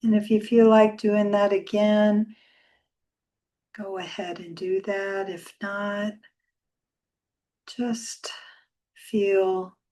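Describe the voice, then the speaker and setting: A woman leading a guided meditation as a yoga teacher, speaking softly and soothingly with long, unhurried pauses. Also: on the low side, low-quality recording.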